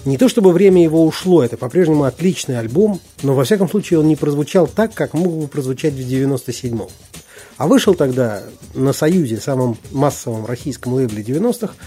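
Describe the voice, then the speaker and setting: A man's voice: on the high side, lively intonation, brisk, mellow, slightly rough. A man presenting a scripted music review as narration, with music behind him.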